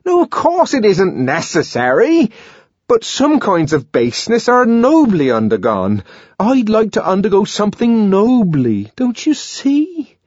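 A man's voice: sits high, wildly swooping pitch, measured pace, a little mellow, dry recording, lo-fi sound, voice pushed up, heavily acted. The Savage: a man doing a desperate young poet-lover. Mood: pleading. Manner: impassioned, pleading, quoting verse.

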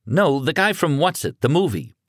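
Man voicing a frightened teen boy, rambling, pitched up high, audiobook. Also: big pitch swings, dry recording, clean studio sound. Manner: rapid, rambling, voice rising. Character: scared teenage boy, shaken, rambling.

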